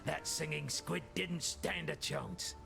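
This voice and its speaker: An actor playing a roguish pirate leader in a video game, teasing and wry with flowery phrasing. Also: male voice, fairly high pitch, slow, creaky, exaggerated voice. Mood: amused, grateful. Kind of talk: video game character dialogue. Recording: music behind the voice.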